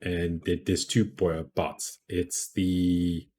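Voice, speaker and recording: A man with a deep, gruff voice giving casual advice on content creation in a rambling way, full of restarts. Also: flat delivery, takes it slowly, dark tone. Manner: rambling, many restarts and fillers. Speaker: podcast host.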